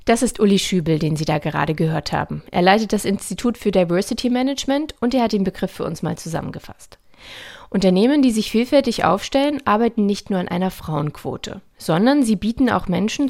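A woman's voice: mid-range voice, creaky, a little mellow. A woman presents a scripted radio feature, narrating and addressing the listeners directly.

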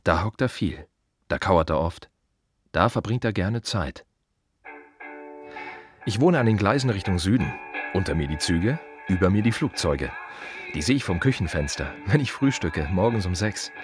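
A man's voice: low-pitched, expressive, moderate pace, pauses often, music in the background. A man playing a world-weary, wry gravedigger in a radio play monologue, talking conversationally in short, emphatic, rhythmic repeated phrases. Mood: musing, wry. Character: world-weary, wry male gravedigger musing on death and the city.

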